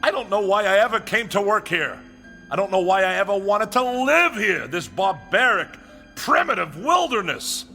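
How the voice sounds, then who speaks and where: Pompous, high-pitched irritable scientist. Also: male voice, pushed and bright, exaggerated voice. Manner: haughty, theatrical, complaining. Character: pompous, irritable male scientist, perpetually dissatisfied. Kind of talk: vintage TV comedy acting.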